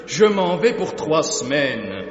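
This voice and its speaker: An eager young bridegroom in a French radio drama. His rather high, lively voice pleads urgently, recorded dull in a big echoing hall. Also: slow pace. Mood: urgent, pleading. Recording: dull, very echoey church-like hall.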